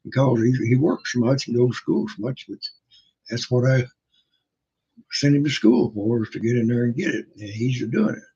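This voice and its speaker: Hoarse older man, folksy and halting. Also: medium pitch, lively intonation, dark tone. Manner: halting, folksy, many pauses and fillers. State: rough, hoarse voice. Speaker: livestream host. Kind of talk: casual rambling chat. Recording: dull lo-fi sound.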